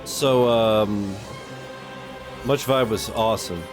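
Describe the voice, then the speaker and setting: A man's voice: fairly low pitch, slow. A man hosting a reaction stream, chatting casually in a slangy, sweary, rambling way. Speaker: reaction video host. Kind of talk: casual reaction chat with viewers. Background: music from the game.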